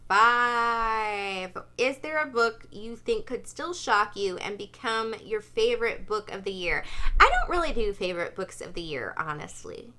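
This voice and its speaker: Female book vlogger, slightly high and very bright voice, casual, chatty, emphatic delivery. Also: animated, a little slow.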